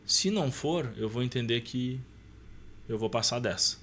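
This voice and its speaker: A man's voice: medium pitch, expressive, normal speed, fairly bright tone, slight background noise. A man with a southern Brazilian accent casually telling a personal story as a podcast guest, acting out dialogue as he goes.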